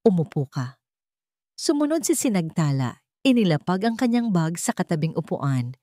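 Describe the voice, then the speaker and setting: A woman's voice: lowish voice, sing-song, moderate pace, mellow, no room sound, clean studio sound. A woman with a Filipino accent reading a dramatic story as a scripted narration.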